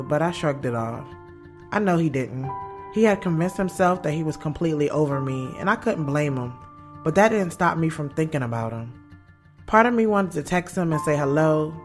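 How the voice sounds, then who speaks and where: A woman playing a guarded, blunt young woman author in an audio drama, speaking frankly and with slangy sass about her love life. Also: low voice. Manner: candid, slangy, sassy. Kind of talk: first-person audio drama narration and dialogue. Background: music bed.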